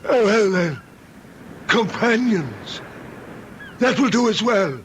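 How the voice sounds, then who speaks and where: A man's voice: high voice, sing-song, slow pace, a little hiss or hum, full character voice. A male actor playing a mocking, malicious hairy monster who tempts and goads in archaic, theatrical phrases in an old-time radio drama. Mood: probing, mocking.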